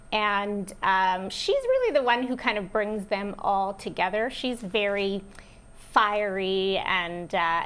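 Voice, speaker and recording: A woman's voice: medium pitch, a bit bright. A woman speaking as a theatre director in a casual interview.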